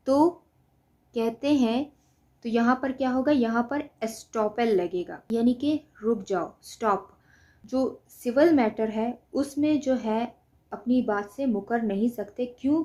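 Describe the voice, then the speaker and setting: A woman's voice: fairly high pitch, mellow. A woman with a Pakistani accent giving an explanatory law lecture, didactic, often posing questions and answering them herself. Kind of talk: explanatory lecture mixing Hindi-Urdu with English legal terms.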